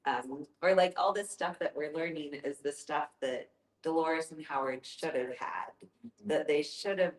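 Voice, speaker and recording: Low-voiced joking woman in an echoey room. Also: unhurried. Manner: joking, self-aware. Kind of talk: informal group discussion.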